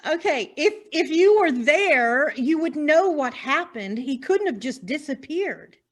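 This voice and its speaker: A bold, determined lady reporter from a stage farce, acted by a woman. Her voice is extremely high and slow, with melodramatic, deliberate phrasing. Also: animated. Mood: confrontational.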